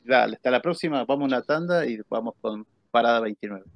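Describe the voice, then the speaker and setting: A man's voice: mid-range voice, quick pace, creaky, muffled recording. A middle-aged man with an Argentine accent, hosting casually on a video call. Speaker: radio show host. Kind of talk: casual chat.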